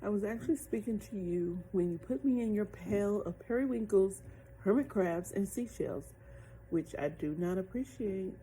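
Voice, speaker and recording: A woman's voice: mid-range voice, normal speed, dark tone, slight background noise, natural voice, poor audio. A woman voicing a tiny, prim and proud little mermaid in a children's storybook. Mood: indignant.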